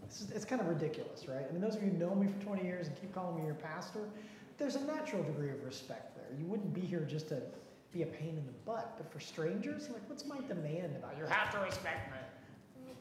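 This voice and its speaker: A man's voice: high-pitched, very animated, brisk. A male pastor talks in a folksy, self-deprecating manner while leading a discussion with his congregation. His voice rings around a roomy church hall with a long echo tail. Faint noise sits underneath. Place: church sanctuary.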